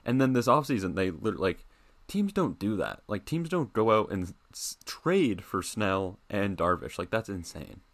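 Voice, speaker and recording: A man's voice: on the low side, very animated, normal speed. A young man co-hosting a casual sports podcast, easygoing and self-deprecating. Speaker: podcast co-host.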